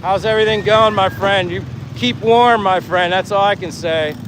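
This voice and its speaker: Higher-voiced man livestreaming over outdoor noise. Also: fairly even intonation, moderate pace. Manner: effusively thankful and friendly, addressing viewers directly. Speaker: livestream host. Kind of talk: casual livestream chat while walking, reading out viewer comments. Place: outdoors on the move, near traffic. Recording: clear outdoor traffic and engine noise.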